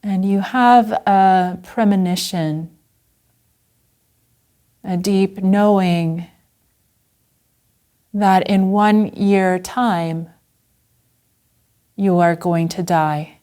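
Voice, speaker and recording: Very slow, soft-toned woman guiding meditation. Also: mid-range voice, fairly even intonation. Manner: gentle, unhurried, with long pauses. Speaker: Zen Buddhist teacher guiding a meditation. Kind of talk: guided meditation.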